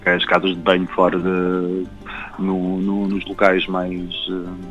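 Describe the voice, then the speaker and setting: A young man speaks in a deep voice with a European Portuguese accent. He chats reflectively, circling back over words as he looks for the right phrase. The sound is slightly dull, with faint music underneath. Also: monotone, fairly bright tone. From Algarve, Portugal. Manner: halting, repeats words while searching for phrasing. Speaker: traveller and guide interviewed. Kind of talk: casual reflective interview talk.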